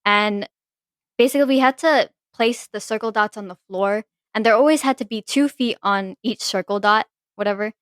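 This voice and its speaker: A woman's voice: mid-range voice, moderate pace, smooth, dry recording, clean studio sound. Chatty young woman telling a story. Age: teens. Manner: chatty storyteller, many "like" fillers. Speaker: podcast co-host. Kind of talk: casual chat among friends.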